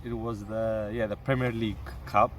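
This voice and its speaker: A young man from Cyprus answering interview questions in a casual, halting way, with restarts and fillers. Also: on the low side, little pitch movement, distant mic, slight background noise. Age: young adult.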